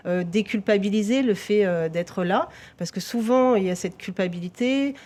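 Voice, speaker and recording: Woman police victim-support officer, TV talk show guest, explanatory, frequent restarts, mellow dark voice. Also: mid-range voice, moderate pace.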